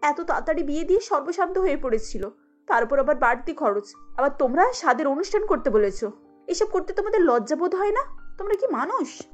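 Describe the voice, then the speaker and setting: A woman voicing an indignant, bitter young pregnant daughter in a cartoon story, scolding with sharp rhetorical questions. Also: high voice, very animated, quick pace, highs cut off, soft background music. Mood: reproachful.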